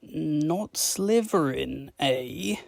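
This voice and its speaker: A woman reading a storybook, voicing a pondering old magical talking hat with a slow, drawn-out, musing delivery. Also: low-pitched, lively intonation, creaky, darkish tone, dry recording, voice pushed down, full character voice. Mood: coaxing, musing. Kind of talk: storybook read-aloud character voice.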